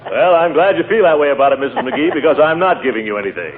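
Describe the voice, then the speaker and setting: A man's voice: a little high, lively intonation, fast, close to an everyday voice. An actor playing a pompous, blustery, big and fussy male neighbor in a 1940s radio comedy. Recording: tinny old radio broadcast with hiss.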